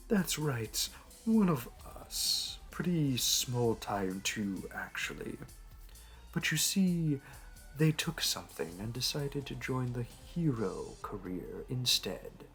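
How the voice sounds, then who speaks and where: A man playing a smug, flirty supervillain in an audio roleplay, talking in a teasing, theatrical, unhurried way. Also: mid-range voice. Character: smug, flirty male supervillain hosting a hero in his lair. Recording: music behind. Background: background music.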